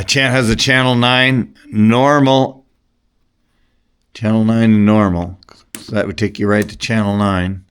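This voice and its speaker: Slow-talking older man, rambling along. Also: medium pitch, a bit bright. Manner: rambling, halting, trailing off. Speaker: CB radio hobbyist. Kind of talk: casual unscripted product talk.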